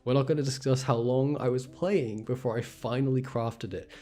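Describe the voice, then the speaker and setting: A man's voice: mid-range voice, steady tone, moderate pace, mellow, faint music behind. A young man doing a casual gaming livestream, rambling and thinking aloud as he goes. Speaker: game streamer. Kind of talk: casual gaming stream chat.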